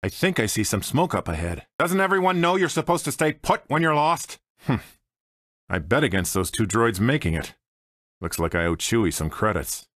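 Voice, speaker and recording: Guy voicing a cocky american space smuggler for a game, dry wisecracks, really animated pitch, studio dry sound. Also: no room sound, natural voice. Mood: wry, cocky. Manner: dry, sardonic, swaggering. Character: cocky, wisecracking roguish space smuggler and pilot, adult man. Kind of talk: video game character voice clips.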